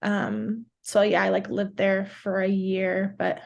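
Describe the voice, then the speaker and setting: A woman's voice: medium pitch, monotone, slow, vocal fry, dull audio. A woman in her twenties from New York, whose first language is Portuguese, chatting casually as a podcast guest and saying "like" a lot. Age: 20s. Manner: chatty, fills speech with "like". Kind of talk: casual interview chat.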